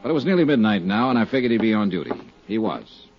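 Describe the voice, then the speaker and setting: A man's voice: lowish voice, big pitch swings, fast, music in the background. A man playing a shrewd, sardonic insurance investigator with an American accent in a 1950s radio drama, wry and probing. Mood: brisk, businesslike. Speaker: lead detective and narrator. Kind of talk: 1950s radio drama acting with first-person narration. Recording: dull 1950s radio recording.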